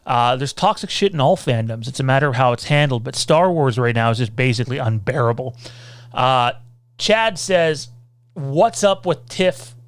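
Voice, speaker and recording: A man hosting a casual livestream Q&A, rambling loosely, reading out viewer comments and filling pauses with lots of "uh" and "um.". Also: mid-range voice, animated, no room sound. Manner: rambling, reads out viewer comments, many filler sounds. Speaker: podcast host.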